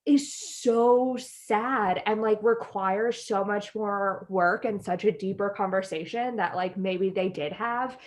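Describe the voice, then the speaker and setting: A woman's voice: medium pitch, slow pace, few pauses. Tentative young American woman chatting casually. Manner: tentative, thinking aloud, frequent "like". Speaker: podcast co-host. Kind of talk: casual chat, discussing reality TV.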